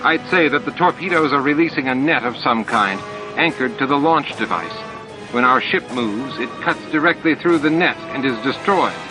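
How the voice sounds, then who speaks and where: A man's voice: medium pitch, animated, moderate pace, music in the background, pitched above the performer's own voice, natural voice, poor audio. A man voicing a nervous male alien officer in a science fiction audiobook, explaining tactical readings to his superior.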